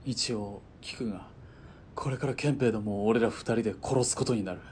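A man's voice: fairly quick, close to an everyday voice. A man playing a cool, clipped military spotter. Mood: grave, determined. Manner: clipped, composed. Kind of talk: radio drama acting. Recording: faint rumbling background noise.

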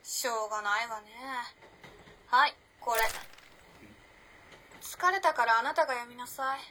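A woman's voice: fairly high pitch, measured pace, pushed and bright, slight background noise. A woman playing a self-possessed, teasing modern-girl storyteller in a radio drama, with breezy, ladylike phrasing. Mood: teasing, cool.

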